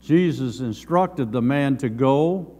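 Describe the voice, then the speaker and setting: An older man in his seventies giving a Sunday school Bible lesson, reading from notes in measured, halting short phrases. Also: mid-range voice, expressive, dark tone, slightly rough. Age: older, around 70s. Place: church sanctuary, at a lectern. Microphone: gooseneck lectern microphone. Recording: long hall reverb.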